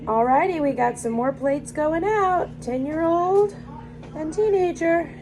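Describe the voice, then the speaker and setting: A hoarse middle-aged woman, a mother vlogging casual commentary while cooking in a hotel room on her handheld phone. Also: high-pitched, measured pace, darkish tone, soft background music, low-quality recording. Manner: chatty, upbeat running commentary. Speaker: vlogger, mother of a large family.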